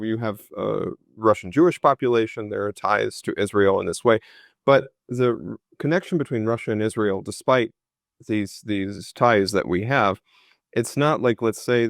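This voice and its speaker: A low-pitched man in his thirties speaks over a video call. He answers questions in a halting, thoughtful way, full of fillers and restarts. The sound is dry and close. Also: vocal fry, no echo. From United States. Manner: hesitant, many fillers and restarts. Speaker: historian guest. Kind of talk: conversational interview answers.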